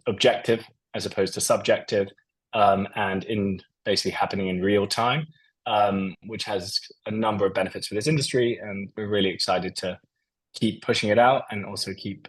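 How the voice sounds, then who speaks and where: A man's voice: fairly low pitch, steady tone, moderate pace, rough recording. A man giving a loose, conversational business presentation over slides, with many fillers as he talks. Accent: non-Portuguese. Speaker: company presenter.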